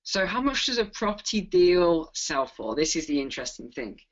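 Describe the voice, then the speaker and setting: A man's voice: a little high, sing-song, normal speed. A man joining an online webinar as a guest speaker over a remote call, upbeat as he starts talking. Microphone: remote call audio. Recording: dull lo-fi remote call from far off.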